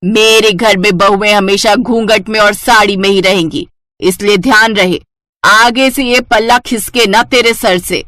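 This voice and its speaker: A woman's voice: mid-range voice, fairly even intonation, a little slow, a bit bright, lightly acted. A woman voicing a scolding, old-fashioned mother-in-law in a family cartoon, nagging and disapproving. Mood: indignant scolding. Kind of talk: cartoon story voice acting.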